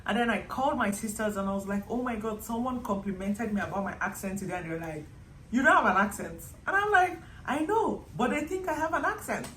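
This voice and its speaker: A woman's voice: a little high, quick pace. A young woman from Nigeria with a Nigerian accent, chatting casually to her vlog audience and acting out little quotes as she tells stories. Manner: chatty, animated storytelling with acted-out quotes. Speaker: vlogger. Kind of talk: casual vlog monologue to camera. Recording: echoey room with faint background noise.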